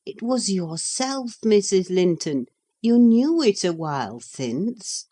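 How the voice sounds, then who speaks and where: A woman reading an audiobook, voicing a steady, practical housekeeper who speaks firmly and soothingly. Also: mid-range voice, slow. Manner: firm, soothing.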